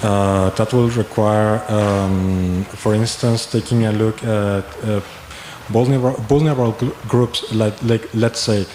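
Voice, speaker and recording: A man's voice: fairly low pitch, steady tone, measured pace, darkish tone. A man in the audience asking long, rambling questions at a talk, with many fillers. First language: not German. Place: conference room. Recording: echoey hall, faint noise.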